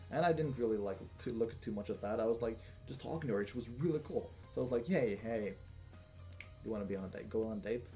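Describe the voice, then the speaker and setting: A young man hosting a casual Q&A video, talking in a flat, monotone way with lots of fillers and restarts. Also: on the low side. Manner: monotone, rambling with many fillers. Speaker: gaming channel host. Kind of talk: casual Q&A monologue.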